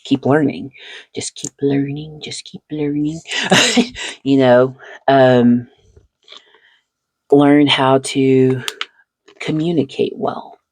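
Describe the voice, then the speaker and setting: A woman's voice: extremely low pitch, extremely slow, long pauses, a little mellow, breathy edge, dry recording. A woman hosting a casual chat while sleeving cards, reflective and chatty, laughing often between thoughts. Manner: seated, talks while handling cards. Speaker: channel host.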